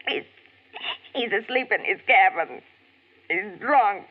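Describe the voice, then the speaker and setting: An actress playing a frightened young woman in a 1940s radio drama, halting and pleading. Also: medium pitch, lively intonation. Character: frightened young woman, Francis, fleeing stepfather. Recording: tinny old radio broadcast.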